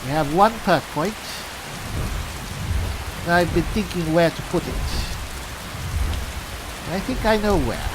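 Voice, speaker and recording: Slow, musing male gamer over rain. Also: fairly high pitch, lively intonation, noisy background. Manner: musing, halting, talks to himself. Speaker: gameplay host, player in character. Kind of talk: in-character roleplay game commentary. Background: rain and thunder from the game.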